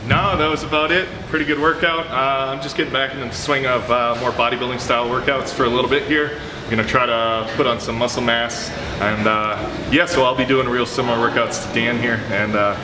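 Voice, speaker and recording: A young man co-hosting a gym vlog, relaxed, joking and a little rambling. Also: medium pitch, fairly quick, background noise. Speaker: co-host, lifting partner. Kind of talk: casual gym talk. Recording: echoey gym with loud music.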